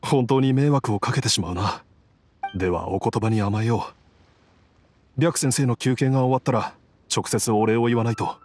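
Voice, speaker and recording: A man's voice: medium pitch, moderate pace, darkish tone, lightly acted. An actor playing a humble, grateful father in an anime game, weakened from illness and speaking hesitantly over music. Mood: grateful, apologetic. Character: grateful, humble ailing father of a grown-up household. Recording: music behind the voice.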